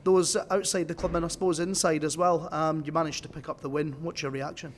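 A man's voice: fairly high pitch, little pitch movement, brisk, a little hiss or hum. A man interviewing a coach after a game for club TV, asking conversational, leading questions.

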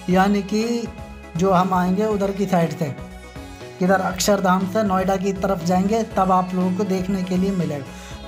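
Man, flat explanatory delivery. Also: high voice. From northern India. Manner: repetitive, explanatory, addresses viewers as friends. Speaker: vlogger. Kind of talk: informal on-site construction update commentary. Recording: music behind the voice. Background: background music.

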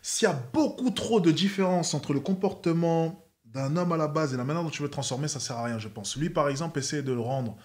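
A man's voice: on the high side, a little fast, hardly any pauses. A young man hosting a livestream chat from an indoor set with a desk microphone, teasing his guest and calling out her claims. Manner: teasing, repeats "cap" to tease. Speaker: streamer host. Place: indoor living room set. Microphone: headset with desk microphone.

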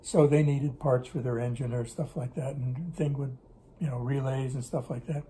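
A man's voice: medium pitch, fairly even intonation, moderate pace, mellow, slight background noise. An older man telling stories in a casual interview at his kitchen table, rambling and correcting himself as he goes, recorded on a camera set on the table. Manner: rambling, self-correcting storyteller. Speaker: interviewee recalling business in Russia. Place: home kitchen table, indoors. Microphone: camera on table in front.

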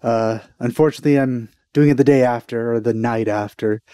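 A young man talks informally to his viewers as a reaction host. His voice is mellow and dry, picked up close on a headset. He sounds enthusiastic while keeping it casual. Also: medium pitch, steady tone, brisk, clear, resonant tone, no echo. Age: young adult. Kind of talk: casual chat reacting to a game trailer. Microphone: headset microphone.

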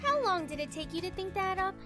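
A competitive young woman athlete in an anime-style game. Her voice is very high, girlish and earnest, with music playing behind it. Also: big pitch swings, fast talker. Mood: sulky, frustrated. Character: competitive, sulky young female college tennis player.

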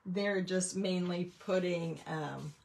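A woman's voice: on the low side, slow pace, creaky, a little mellow, low-quality recording. A woman chatting casually as she hosts a craft livestream, rambling through a show-and-tell of her painting work. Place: indoors at a craft table.

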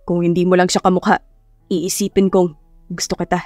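A woman's voice: lowish voice, level tone, brisk, darkish tone, no room sound, pitched below the performer's own voice, close to an everyday voice. A woman voices a grumbling, dramatic Filipino boss man in an audio romance. He teases and complains in a dry recording over faint music. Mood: murmuring, smitten. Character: grumpy, teasing adult male boss, complaining dramatically.

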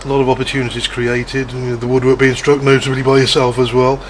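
A man's voice: medium pitch, monotone, fast talker, a little hiss or hum. A man asking post-match questions for the club, restarting words as he goes. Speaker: club media interviewer.

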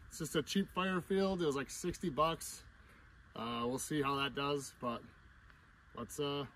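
A man's voice: fairly high pitch, fairly bright tone. A man hosting an outdoor vlog, chatting casually to the camera. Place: outdoors at a winter campsite. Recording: noisy outdoor camera audio.

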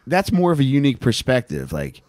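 An American man co-hosting a podcast, chatting casually and comedically with animated, sweary storytelling into a close handheld mic. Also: mid-range voice, a little fast, dry recording. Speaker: podcast co-host. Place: small indoor podcast room with couches. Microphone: handheld microphone held close.

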